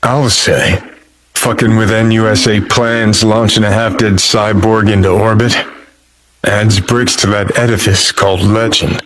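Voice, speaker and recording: A man's voice: fairly low pitch, fairly bright tone. An American man playing a cynical, world-weary rebel rock star in a video game, with a sardonic, clipped drawl and plenty of swearing. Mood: grim, sardonic. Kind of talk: video game character dialogue.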